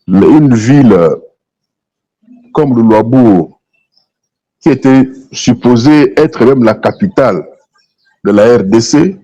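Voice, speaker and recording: A man's voice: on the low side, mellow, highs cut off. A man from DR Congo with a Congolese accent, giving opinionated political views as a talk show guest, emphatic and repeating his phrases. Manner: emphatic, repeats phrases, switches between French and Lingala.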